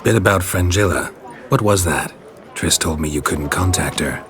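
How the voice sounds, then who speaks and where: A man playing a gruff, wary monster hunter in a fantasy video game, speaking in terse, deadpan phrases. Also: low voice, normal speed. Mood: sceptical, dry. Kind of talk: cinematic fantasy game acting.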